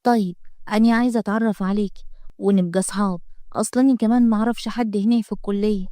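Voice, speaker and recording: A woman's voice: medium pitch, fairly even intonation, racing, mellow, no room sound, clean studio sound, close to an everyday voice. A woman voicing a chatty, eager young college girl with an Egyptian accent in a storybook reading. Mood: friendly. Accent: Egyptian Arabic.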